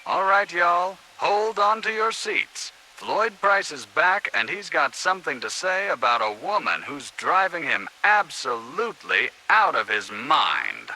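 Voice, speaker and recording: A bright, forceful American man hosts a 1950s-style radio broadcast. His pitch swings widely as he delivers scripted news in punchy, dramatic phrases. There is faint noise behind him. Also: sing-song, a little slow, few pauses, rough recording. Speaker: radio announcer and host.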